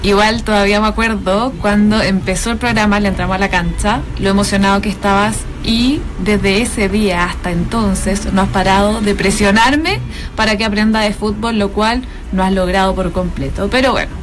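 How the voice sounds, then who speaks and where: A woman giving a warm, teasing farewell message to a colleague. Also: medium pitch, moderate pace, slight background noise. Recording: music playing behind.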